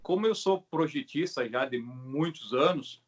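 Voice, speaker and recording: A middle-aged Brazilian man with a slightly high voice talks casually as an interviewed craftsman. He explains things haltingly, restarting and adding little tag questions as he goes. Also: animated, breathy edge. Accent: Brazilian Portuguese. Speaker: craftsman, bow maker being interviewed. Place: video call.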